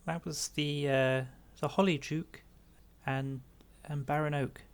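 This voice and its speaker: Earnest fae forest guardian, played by a guy, formal and measured, bit of creak in the voice. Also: fairly high pitch, fairly even intonation. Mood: grave, worried.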